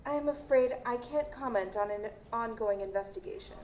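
A woman playing a guarded, formal chief medical examiner in an audio drama, measured and dismissive. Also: fairly high pitch, a little mellow, lightly acted. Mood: cool, guarded. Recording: roomy, noisy live stage recording.

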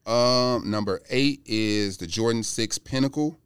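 A man's voice: mid-range voice, measured pace, clear, resonant tone, dry recording. A man chatting as a podcast guest in an informal, blunt way. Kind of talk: casual sneaker podcast chat.

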